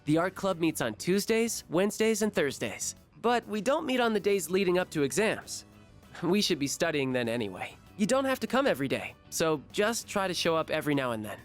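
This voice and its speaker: An actor voicing an earnest, slightly hesitant teenage art club leader who fusses over others. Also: male voice, sits high, sing-song, a little fast, soft background music. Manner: earnest, hesitant, then fussy and caring.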